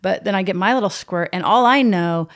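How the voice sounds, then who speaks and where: A woman's voice: medium pitch, fairly quick. Woman explaining with laughter. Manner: explanatory, laughs often while making points. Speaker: podcast co-host. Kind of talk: conversational podcast discussion.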